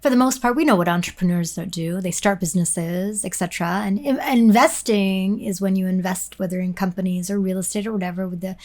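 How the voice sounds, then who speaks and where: A woman's voice: mid-range voice, a little fast, hardly any pauses, a little mellow. A woman hosting a business podcast, asking questions in a friendly, enthusiastic way with frequent stammered restarts. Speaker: podcast host.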